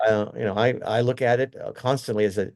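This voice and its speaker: A man's voice: medium pitch, steady tone, fast, darkish tone. A middle-aged man, a company executive, giving earnest, rambling interview answers full of restarts and fillers.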